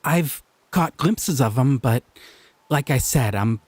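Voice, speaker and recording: A man's voice: medium pitch, lively intonation, slow, darkish tone, breathy edge, dry recording. A man playing a sweet, shy slime creature. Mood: amazed. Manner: sweet, playful, bashful. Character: gentle, curious, shy male slime creature. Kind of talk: audio roleplay for a listener.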